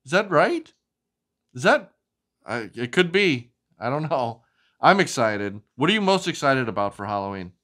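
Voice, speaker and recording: A low-voiced woman hosts a children's storytime with hugely animated delivery. Her reading is sing-song and expressive, and she turns to chat with a child, asking questions along the way. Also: normal speed.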